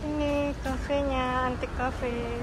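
A woman's voice: high voice, little pitch movement, takes it slowly, very noisy. A woman chatting casually to viewers in a travel vlog, recorded on city streets. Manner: chatty, addresses viewers as guys. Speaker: vlogger. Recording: loud music and crowd behind.

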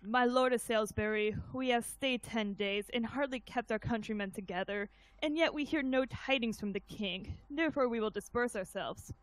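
A woman plays a grim army captain in a stage history play, declaiming omens in verse. The voice is fairly high and recorded close and dry, with a superstitious, resolute temper. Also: moderate pace, hardly any pauses, dry recording, close to an everyday voice, low-quality recording.

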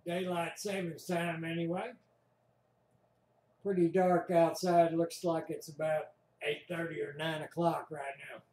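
A man's voice: on the high side, level tone, measured pace, darkish tone. A man giving casual, offhand commentary while recording an amateur radio net, speaking in a room. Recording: echoey room.

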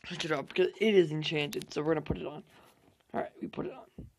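A young man hosting a gaming channel, hoarse from losing his voice, rambling through casual gameplay commentary with fillers and swearing. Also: fairly high pitch, fast talker, a little hiss or hum.

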